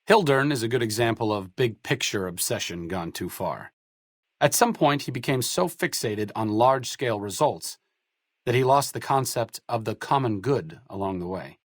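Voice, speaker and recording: Guy voicing a wry nerdy idealist doctor from a post-apocalyptic game, articulate, very expressive pitch, dry studio sound. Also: moderate pace, no room sound, lightly acted. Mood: thoughtful. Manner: sardonic, articulate, dryly witty. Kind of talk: video game companion dialogue.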